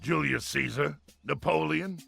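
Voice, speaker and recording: An actor playing a brash, bossy older military colonel who talks down to everyone. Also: male voice, on the high side, a little slow, creaky, pushed and bright, soft background music, exaggerated voice. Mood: gruff. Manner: blustering, commanding, condescending. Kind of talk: video game voice acting.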